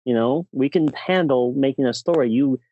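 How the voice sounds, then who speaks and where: A man's voice: mid-range voice, normal speed, muffled recording. A man co-hosting a casual film discussion podcast, speaking in an opinionated, emphatic way. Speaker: podcast co-host.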